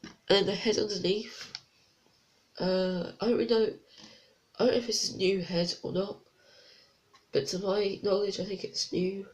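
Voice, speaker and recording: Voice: mid-range voice, level tone, moderate pace, long pauses. A reviewer with a blocked, congested nose, chatting casually through a toy set review with unsure, rambling asides. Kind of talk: casual product review vlog.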